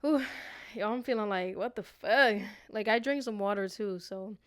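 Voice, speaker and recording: Smooth-voiced woman podcasting, very dry sound. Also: medium pitch, normal speed, fairly bright tone, no room sound. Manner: informal and slangy, swears freely; affectionate direct address to listeners. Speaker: podcast host. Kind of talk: casual, motivational self-reflection podcast monologue.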